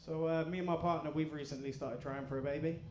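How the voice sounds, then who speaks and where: A man doing a stand-up comedy set, deadpan and crude, bantering with the crowd. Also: fairly high pitch, fast talker, a little hiss or hum. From England. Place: comedy club in front of a live audience. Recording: echoey club room. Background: audience laughter.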